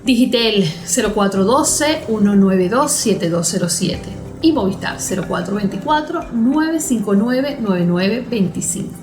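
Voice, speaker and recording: A Venezuelan woman speaks in an explanatory, didactic way, in short measured phrases. Her voice sits at a middle pitch in an echoey room, with music playing behind her. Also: expressive. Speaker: cognitive therapist. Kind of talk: explanatory interview talk. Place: therapy consulting room.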